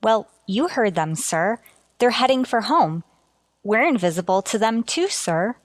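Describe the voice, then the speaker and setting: A woman playing a dutiful, earnest starship officer in a radio sci-fi drama, deferential and deliberate. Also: on the high side, lively intonation, no room sound. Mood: pleading. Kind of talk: golden age radio sci-fi drama acting.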